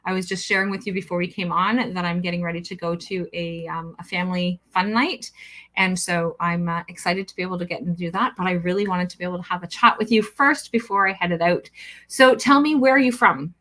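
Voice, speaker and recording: A woman hosting a live-streamed interview over a video call. She welcomes people and fills pauses with many ums. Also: lowish voice, fairly even intonation, sharp tone. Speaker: host. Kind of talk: livestream interview.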